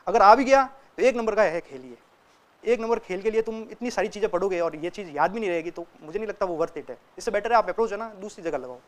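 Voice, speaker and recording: A man's voice: high-pitched, fast. A young man, a student, giving casual, direct advice to other students and often checking in with them as he talks. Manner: chatty and direct, keeps checking in with listeners. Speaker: student sharing exam tips.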